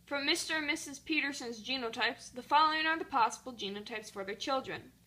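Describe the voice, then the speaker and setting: A young woman presents a scripted educational show in an explanatory host's manner. Her voice is high and bright, and she sounds distant from the mic. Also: brisk. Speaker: puppet show performer playing a TV host. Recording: echoey room, distant mic.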